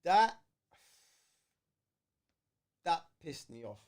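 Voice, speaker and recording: A man's voice: on the high side, very animated, very slow. A man with a London British accent chatting casually as co-host of a fan livestream, slangy, opinionated and emphatic. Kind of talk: casual fan debate chat.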